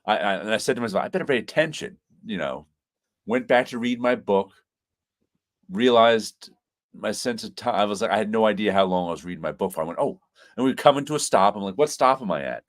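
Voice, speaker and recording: A man's voice: fairly low pitch, lively intonation, brisk. Congested rambling man hosting a chat. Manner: rambling storyteller who laughs often and restarts phrases. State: congested from sinus trouble. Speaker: host. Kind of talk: casual live-stream chat.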